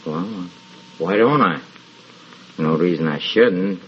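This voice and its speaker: A low-voiced, haunted man mutters through drink in halting, repeated phrases. He drags his words slowly, brooding and evasive, in a radio horror play. The old recording is muffled. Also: husky, exaggerated voice. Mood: morose, drunken. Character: drunken, haunted husband, brooding and evasive about a cat.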